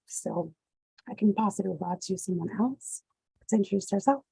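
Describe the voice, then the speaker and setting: A woman's voice: medium pitch, lively intonation, normal speed, creaky, dark tone, husky, poor audio. A young woman explaining her engineering work on an online panel, in a matter-of-fact way. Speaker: alumna panelist.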